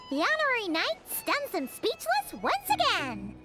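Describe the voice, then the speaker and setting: A woman voicing a tiny, excitable and cheeky fairy companion with a childlike manner in a video game. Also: very high voice, slow, full character voice. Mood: teasing. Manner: refers to self in third person, gushing exclamations. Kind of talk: video game character acting. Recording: game dialogue over loud tinkling music. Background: loud playful game music.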